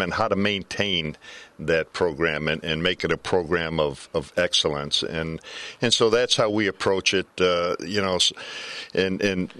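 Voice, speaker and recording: An older man talking baseball as a color commentator in a casual panel chat, halting and deliberate, often repeating words. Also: low voice, a bit bright. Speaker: color commentator, former coach. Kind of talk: casual sports panel discussion.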